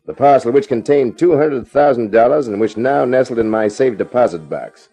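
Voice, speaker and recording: An actor playing a cool, laconic private eye in a 1950s radio crime drama. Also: male voice, medium pitch, normal speed, mellow, breathy edge. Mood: wry narration. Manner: laconic, unflappable. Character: cool, wisecracking hardboiled American private eye. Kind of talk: 1950s radio crime drama, first-person narration and dialogue. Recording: dull old radio recording.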